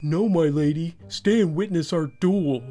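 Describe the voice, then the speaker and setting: A man's voice: on the high side, a little slow, mellow, faint music behind, natural voice. A man voicing a smug, pompous, mock-chivalrous teenage boy in a spoken story. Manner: pompous, faux-gallant.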